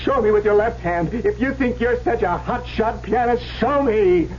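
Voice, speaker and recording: An actor playing an exhausted, browbeaten young pianist student who stammers, overwrought, in an old-time radio drama. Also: male voice, high voice, normal speed, slight background noise, natural voice. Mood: anguished outburst. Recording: dull, humming vintage radio broadcast.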